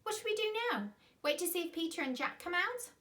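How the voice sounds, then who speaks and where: A woman's voice: very high voice, expressive, a little fast, pushed and bright, voice pushed up, full character voice. A woman voicing an eager, anxious young schoolboy from a children's storybook, speaking urgently. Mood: regretful urgent.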